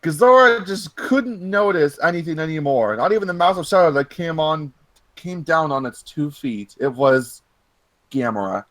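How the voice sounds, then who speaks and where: A man's voice: a little high, expressive, moderate pace, fairly bright tone, poor audio. A man hosting a mocking read-aloud of fan fiction, repeating lines emphatically and dropping in joking asides.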